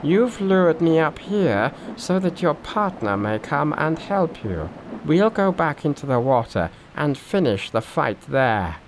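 A man's voice: a little high, sing-song, a little slow, slight background noise, pitched above the performer's own voice, poor audio. A man voicing a wily, menacing river monster in a storybook reading, taunting and threatening. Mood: suspicious, taunting. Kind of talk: storybook narration with character voices.

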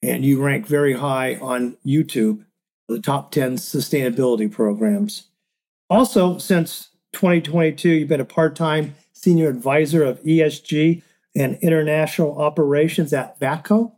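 A man's voice: fairly high pitch, normal speed, darkish tone. A man hosting a podcast, introducing and interviewing a guest. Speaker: podcast host.

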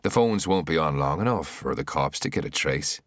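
A man's voice: rumbling low, expressive, moderate pace, dry recording, studio quality. A man acting a menacing, gloating gunman. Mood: cold command. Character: menacing, gloating gunman enforcer, cruel and sure.

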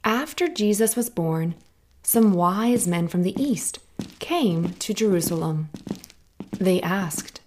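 A woman hosting a children's Bible story podcast, narrating a scripted story in a gentle, clear, unhurried way. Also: lowish voice, lively intonation, slight background noise.

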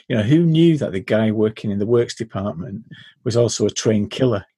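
A man hosting a podcast, telling an anecdote from a game he ran in a relaxed, conversational way. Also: fairly low pitch, fairly quick, slightly rough, dry recording. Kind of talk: casual chat about tabletop games.

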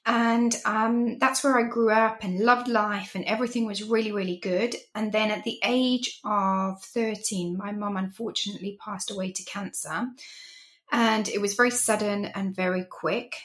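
A woman's voice: medium pitch, fairly even intonation, moderate pace, fairly bright tone. Woman, 40s, from Zimbabwe, life coach, interview guest, reflective, earnest. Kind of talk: interview storytelling.

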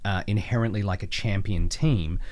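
A man's voice: deep voice, normal speed, darkish tone. A man with an Australian accent telling stories casually as an interview guest, wry and jokey, often acting out imagined bits of dialogue. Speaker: podcast guest, voice actor. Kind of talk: casual conversational storytelling.

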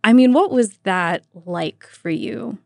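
A woman's voice: medium pitch, animated, slow, creaky, dry recording, clean studio sound. An American woman hosting a radio interview, asking questions conversationally and often throwing in "like" as she talks.

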